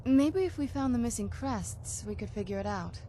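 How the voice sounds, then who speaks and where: A woman's voice: fairly high pitch, lively intonation, normal speed, warm tone, slight background noise, close to an everyday voice. An actress playing a young vampire noblewoman in a video game, speaking in an earnest, reflective, conversational way. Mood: musing. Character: young noblewoman vampire, wistful, earnest, daughter of castle lords.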